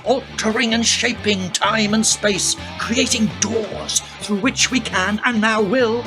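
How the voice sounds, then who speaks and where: A man's voice: high voice, steady tone, slow, music in the background. A man playing an eccentric older grandfather inventor in a radio play, speaking in theatrical, old-fashioned formal phrases. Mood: earnest, cryptic. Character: eccentric grandfather inventor, cryptic, eager time-traveller.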